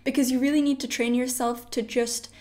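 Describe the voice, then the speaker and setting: A woman's voice: high voice, little pitch movement, a little fast, warm tone. Chatty young woman giving exam tips. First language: Polish. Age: late teens. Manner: chatty, lots of "like" and "you know". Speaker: study vlogger. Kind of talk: casual advice vlog. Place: bedroom at home.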